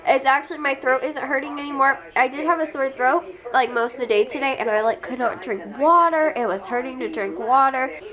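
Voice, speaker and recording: A woman's voice: high voice, brisk, a crowd in the background. A young woman vlogging at home while sick and congested, talking casually and rambling with frequent laughs. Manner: rambling, chatty, laughs often. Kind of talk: casual chat to camera.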